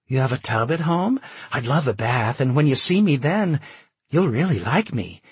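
A man's voice: mid-range voice, sing-song, normal speed, breathy edge, no echo, pitched above the performer's own voice. A man voicing a hungry refugee woman who pleads with a soldier and nears hysteria. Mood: pleading. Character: desperate, hungry, sobbing young woman refugee.

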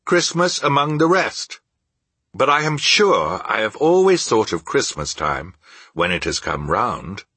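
A man voicing a cheerful, warm-hearted young nephew in a storybook reading, earnest and good-natured in manner. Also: lowish voice, breathy edge, no echo, voice pushed up, exaggerated voice.

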